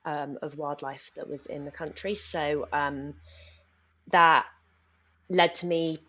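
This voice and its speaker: A young woman from Nepal, a researcher talking as a podcast guest, thoughtful with many ums and quick bursts. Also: low-pitched, fairly even intonation, fairly bright tone, highs cut off. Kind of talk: podcast interview.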